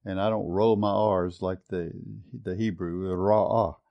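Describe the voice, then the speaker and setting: A man's voice: low-pitched, steady tone, takes it slowly, muffled recording. A man teaching a Bible study session. He talks in an earnest, reflective way, reads and compares scripture translations, and often repeats words while he finds the phrase.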